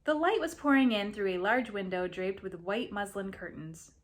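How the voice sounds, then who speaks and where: A woman reading a story aloud as an expressive narrator. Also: medium pitch, moderate pace. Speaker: reader of a story.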